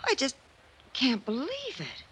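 Earnest woman, very expressive pitch. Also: unhurried, a little hiss or hum, heavily acted. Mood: stunned. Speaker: supporting radio actress. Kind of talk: old-time radio acting. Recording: old radio drama recording.

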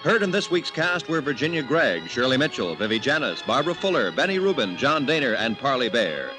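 American radio announcer, animated delivery over music. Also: male voice, medium pitch, a little fast, rough recording. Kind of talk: 1950s radio announcing, scripted credits.

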